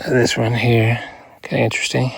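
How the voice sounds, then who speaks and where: Male outdoor vlogger, casual show-and-tell; raspy, creaky voice, unhurried, muttered short phrases. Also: mid-range voice, little pitch movement, no room sound. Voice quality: gravelly, husky. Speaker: rockhounding vlogger. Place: outdoors on open hilly ground.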